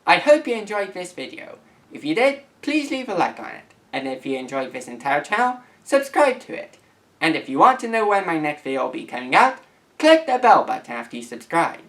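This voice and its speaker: Male, late teens, video creator. Highly varied intonation, earnest and careful, slightly halting casual read. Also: big pitch swings, fairly bright tone. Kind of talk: casual vlog, reading messages aloud.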